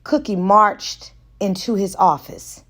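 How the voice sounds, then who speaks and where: A woman reading romance fiction aloud as an audiobook narrator, in a deliberate and expressive way. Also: mid-range voice. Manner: deliberate, acts out dialogue. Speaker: audiobook reader.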